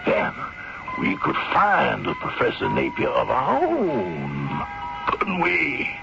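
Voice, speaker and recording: An actor in a radio drama playing a sly, greedy frontier schemer with a gravelly voice and deliberate, courtly phrasing. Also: male voice, medium pitch, wildly swooping pitch, exaggerated voice. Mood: sly, scheming. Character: scheming greedy frontier man, sly, smooth-talking and calculating. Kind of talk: old-time radio drama acting. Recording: muffled radio recording with music.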